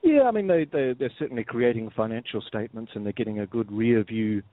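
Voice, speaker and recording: A man with a New Zealand accent, a guest on a radio interview, explaining things in a measured way and often repeating words as he starts a sentence. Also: mid-range voice, expressive, dry recording. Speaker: guest, software firm executive. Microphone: phone. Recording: tinny phone line.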